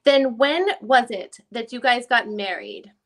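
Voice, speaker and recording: Probing female attorney, played by a woman reader, higher register, formal deposition questioning. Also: measured pace, a bit bright. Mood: matter-of-fact. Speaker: reader in an online dramatic reading. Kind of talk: reading a deposition transcript aloud.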